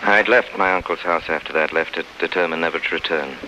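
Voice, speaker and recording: A man's voice: low voice, fairly even intonation, fast, close to an everyday voice. An actor playing a saddened, earnest young man who tells of his past and his dreams in 1930s radio drama style. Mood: melancholy reverie. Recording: dull 1930s radio recording, loud music behind.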